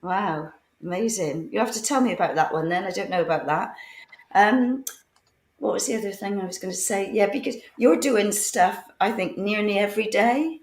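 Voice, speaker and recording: A woman co-hosting a radio interview, her voice husky from a cough, asking chatty, rambling questions. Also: medium pitch, normal speed, roomy sound. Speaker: co-host.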